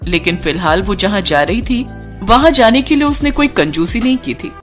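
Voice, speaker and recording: A woman's voice: mid-range voice, lively intonation, moderate pace, soft background music. A woman reading scripted story narration as the storyteller.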